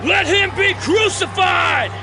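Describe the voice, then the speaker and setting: A man among actors playing an angry mob, chanting loudly for an execution. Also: very high-pitched, gravelly, exaggerated voice. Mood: furious. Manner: slow, loud collective chanting. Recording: crowd din with music.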